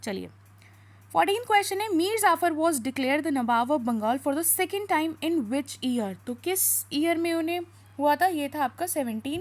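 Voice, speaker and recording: A woman with an Indian accent teaching an exam lesson in question-and-answer style, with an instructive manner, explaining points and reading out material. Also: high voice. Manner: instructive, switching between spoken explanation and slower reading aloud.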